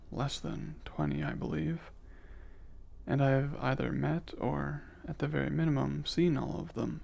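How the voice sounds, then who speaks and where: A man performs a curious alien scientist with cool emotional reserve in an intimate ASMR audio drama. The voice is fairly high for a male, heavily creaky and breathy, often close to a whisper. Phrasing is unhurried, formal and precise, with hesitant pauses. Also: darkish tone, exaggerated voice. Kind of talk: scripted ASMR roleplay, intimate.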